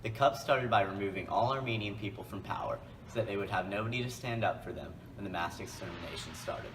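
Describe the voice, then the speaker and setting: Young male student reporter, lowish voice, scripted read, off-mic with clear background noise. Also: fast, recorded from a distance.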